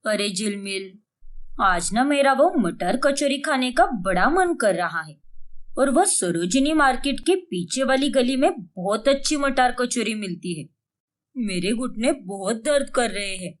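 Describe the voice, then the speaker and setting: A bossy elderly sister in a domestic audio story, put on by a woman. She wheedles and grumbles with very animated intonation. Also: fairly high pitch. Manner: coaxing, then grumbling. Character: bossy, wheedling older sister with aching knees.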